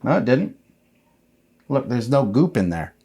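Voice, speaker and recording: A man's voice: mid-range voice, big pitch swings, a little slow, creaky, mellow. A man voicing a nerdy little brother who loves bugs, precise and matter-of-fact, in a tabletop game. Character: nerdy, know-it-all little brother, bug enthusiast boy.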